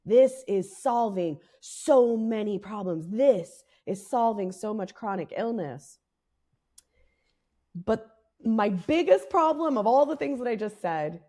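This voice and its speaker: A woman co-hosting a podcast in casual, candid talk, her voice raspy and worn, growing fired up and swearing freely as she makes her case. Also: medium pitch, warm tone. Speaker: podcast co-host.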